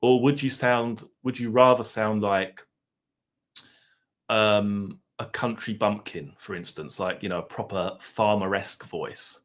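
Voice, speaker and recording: A man's voice: fairly low pitch, steady tone, measured pace. A man with an Essex accent hosting a live stream, rambling casually and chatting with his viewers. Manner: mild swearing, laid-back banter.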